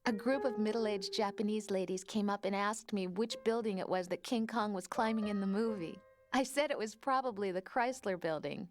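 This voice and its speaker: A woman's voice: medium pitch, moderate pace, close to an everyday voice. An actress playing an affectionate young woman fondly recalling a first date. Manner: soft, fond, reminiscing.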